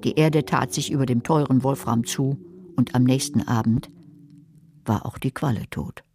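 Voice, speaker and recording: A wry, sardonic narrator. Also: female voice, extremely low pitch, normal speed, mellow. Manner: dry, sardonic storytelling. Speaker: narrator and main character. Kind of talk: radio drama narration. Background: music.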